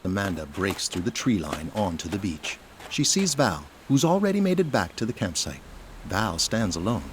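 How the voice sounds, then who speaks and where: A man's voice: low voice, sing-song, moderate pace, a little hiss or hum. A man reading scripted dramatic narration for an audio drama.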